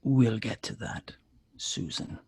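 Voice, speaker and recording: A menacing male kidnapper acted by a man in a drama table read, very breathy and raspy, drawing out every word. He is mock-courteous and taunting, with sinister calm. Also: on the low side, slow pace. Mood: coolly menacing.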